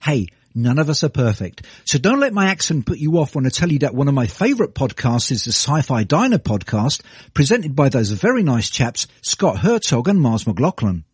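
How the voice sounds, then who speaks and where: A man's voice: medium pitch, sing-song, moderate pace, slightly rough, dry recording, clean studio sound. A British man reading a cheerful, self-mocking podcast promo. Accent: British English. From Britain. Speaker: podcast host giving a promo. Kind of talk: scripted promo read.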